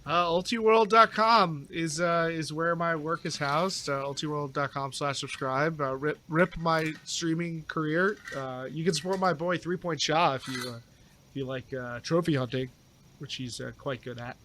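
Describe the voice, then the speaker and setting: Guy guesting on a podcast, kinda high voice, casual and opinionated, really emphatic. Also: normal speed, sharp tone, slight background noise. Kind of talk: casual chat.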